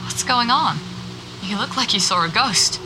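An actress with a New Zealand accent playing a chatty, curious and friendly local interviewer in a naturalistic audio drama. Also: female voice, mid-range voice, lively intonation, unhurried, over music, natural voice, low-quality recording. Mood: puzzled. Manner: chatty, inquisitive.

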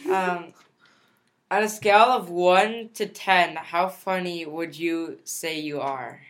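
Woman co-host, fairly low pitch, dry and matter-of-fact. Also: fairly even intonation, slow. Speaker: podcast co-host reading questions. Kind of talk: casual family chat.

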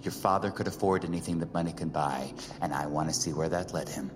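Sardonic antiquities expert, deep voice, blunt wry delivery, audio drama, reverberant, clear music behind. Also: male voice, brisk. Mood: shrewd. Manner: wry, blunt, plain-spoken. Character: blunt, sardonic male antiquities appraiser, sharp and defiant.

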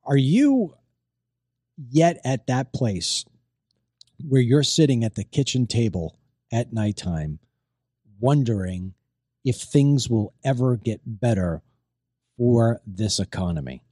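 A middle-aged man hosts a loose, chatty show, his voice strained after losing it. His tone is mellow and dark, recorded dry and close with no room sound. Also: medium pitch, animated, slow pace, pauses often, dry recording. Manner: chatty, rambling, repeats himself. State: strained voice, recovering from voice loss. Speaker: host. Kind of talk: casual talk show chat.

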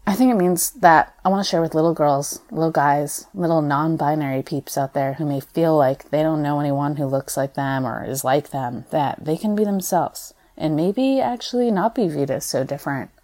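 A young American woman, a stage performer, reading her personal essay aloud in an expressive, conversational way. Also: sits low, normal speed, hardly any pauses. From United States. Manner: expressive, conversational, acts out quoted dialogue.

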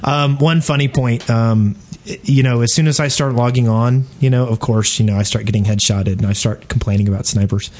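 A man's voice: medium pitch, steady tone, brisk, darkish tone. Rambling man chatting casually. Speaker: podcast host. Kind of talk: casual chat about gaming and personal life.

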